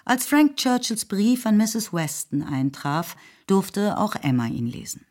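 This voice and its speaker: A woman's voice: mid-range voice, very animated, measured pace, darkish tone. A woman giving composed, scripted literary narration as the storyteller of a radio play.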